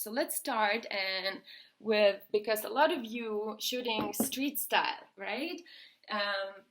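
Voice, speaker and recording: A woman, a model and coach giving posing tips live on a phone. She talks in a chatty, instructive way with many fillers and nervous little laughs. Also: fairly high pitch. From Lithuania. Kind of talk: live-stream posing tutorial. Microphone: phone camera.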